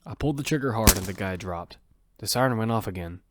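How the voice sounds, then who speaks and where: A man's voice: on the low side, lively intonation, fast, noisy background. A man narrating a first-person action drama as its protagonist, in an earnest storytelling manner.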